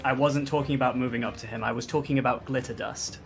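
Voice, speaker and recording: A man's voice: mid-range voice, fairly even intonation, quick pace, vocal fry, over music. A man talking casually as a player during a tabletop game.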